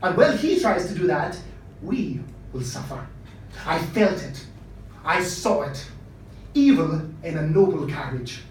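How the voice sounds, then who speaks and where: A man's voice: fairly high pitch, big pitch swings, a little slow, pauses often. A man voicing a fussy, foreboding older costumier uncle in a story reading, with a hesitant, emphatic delivery. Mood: grave, foreboding. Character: fussy older male costumier, wary, gloomily prophetic uncle. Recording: echoey room, distant mic, faint noise.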